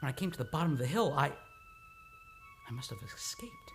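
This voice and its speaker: A man's voice: mid-range voice, very animated, a bit bright. Man acting a haunted amnesiac, wildly swinging voice, over music. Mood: haunted, intense. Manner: halting, repeats words, swinging into outbursts. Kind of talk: old-time radio drama acting. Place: radio studio, live radio play. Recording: dramatic music under the voice.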